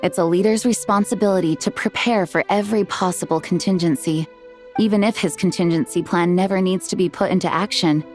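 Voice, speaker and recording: A woman playing a dutiful, composed knight commander in a video game, speaking in a measured, formal, courteous way. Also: on the low side, darkish tone, close to an everyday voice. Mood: earnest, thoughtful. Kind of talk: video game dialogue and story narration. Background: music.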